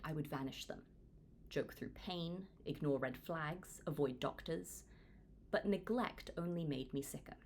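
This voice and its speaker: A woman in her twenties from Hong Kong, reading her own book aloud as an earnest, lively audiobook narrator. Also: a little hiss or hum. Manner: earnest, lively reading with wide pitch swings. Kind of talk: audiobook read-along narration.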